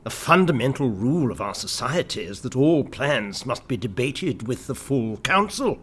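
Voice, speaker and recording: Indignant secretary, raspy edge, forceful, formal, insistent, radio play. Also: male voice, medium pitch, very animated, normal speed. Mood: indignant protest. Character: officious, indignant male secretary of an anarchist council. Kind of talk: radio drama acting.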